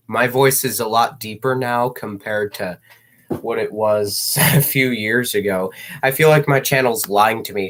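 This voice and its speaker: A teenage boy chatting on a livestream, rambling and self-mocking with jokey asides. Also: medium pitch. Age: teens.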